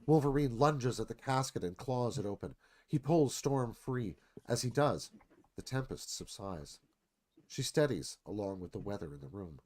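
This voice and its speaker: A man's voice: mid-range voice, a little mellow, slight background noise. A man with a mid-range voice serves as narrator. He reads scripted stage directions and scene headings for a radio theatre performance.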